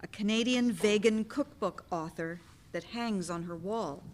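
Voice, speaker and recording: Woman, narrator, average pitch, scripted stage-direction reading. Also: unhurried, a little hiss or hum.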